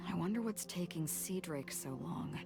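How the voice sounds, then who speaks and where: An actress playing a tough, blunt ship captain in a video game, speaking with unflappable authority. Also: female voice, lowish voice, slow pace, gravelly, loud music behind the voice. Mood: businesslike.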